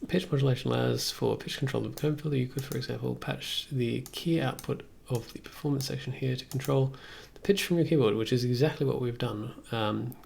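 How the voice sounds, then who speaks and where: A man's voice: medium pitch, gravelly, darkish tone, husky. A young man giving a casual live tutorial, thinking aloud in a musing way as he streams. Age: young adult. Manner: musing, reads aloud then comments. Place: small room indoors at computer desk.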